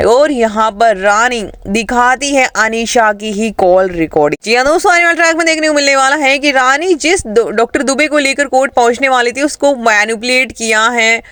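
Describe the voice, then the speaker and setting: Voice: mid-range voice, moderate pace, hardly any pauses, sharp tone, smooth, dry recording, studio quality. A narrator recapping an upcoming television serial plot for viewers in an emphatic storytelling manner. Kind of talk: dramatic plot recap narration with quoted dialogue.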